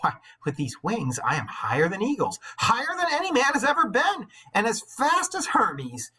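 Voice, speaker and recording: A man's voice: very high-pitched, wildly swooping pitch, moderate pace, sharp tone, breathy edge, voice pushed up, heavily acted. A man voicing a proud, reckless, exultant boy from a Greek myth in a dramatic storybook read-aloud, exclaiming boastfully.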